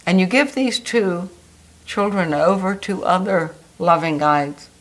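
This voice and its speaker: A woman guiding a meditation in a soft, gentle, soothing way. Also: fairly low pitch. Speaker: meditation guide. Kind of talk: guided meditation.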